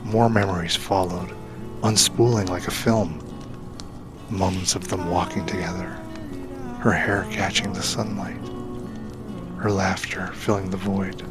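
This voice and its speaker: Low deep guy reading a dramatic story, measured and solemn, music playing behind him. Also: fairly even intonation. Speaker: narrator. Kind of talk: dramatic scripted audio drama narration. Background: background music.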